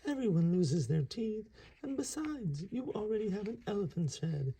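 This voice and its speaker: A man voicing a tiny, anxious male mouse sidekick with a squeaky voice in a read-aloud picture book. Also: very animated, normal speed, mellow, husky, exaggerated voice. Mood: reassuring.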